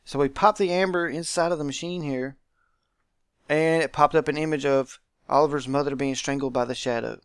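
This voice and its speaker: A man's voice: a little high, steady tone, brisk, clear, resonant tone, no echo, studio quality. A man hosting a visual novel let's play, reading game text aloud and adding informal commentary.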